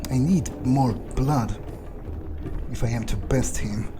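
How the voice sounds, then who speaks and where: A man's voice: mid-range voice, slow pace, close to an everyday voice. A man voicing an arrogant, power-hungry male vampire in a cold, self-assured manner, roleplaying over a video game. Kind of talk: in-character roleplay over gameplay. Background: game music.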